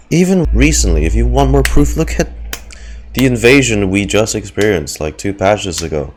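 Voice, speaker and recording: A man's voice: lowish voice, animated, a little slow. A man giving casual, slangy reaction commentary as a game streamer, often sounding exasperated.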